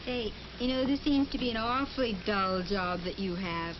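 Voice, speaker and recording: A woman's voice: a little high, animated, normal speed, close to an everyday voice. An actress playing a prim, skeptical young otherworldly woman, a little hesitant and coy, in a 1950s training film. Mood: needling. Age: young adult. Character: prim, skeptical young woman from the world above, posing as a writer. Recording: muffled, noisy 1950s film soundtrack.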